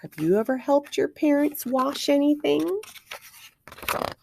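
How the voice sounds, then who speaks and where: A woman's voice: high-pitched, measured pace, vocal fry, noisy background. A woman reading aloud to young children like a teacher, expressively, with questions and wondering asides to her listeners.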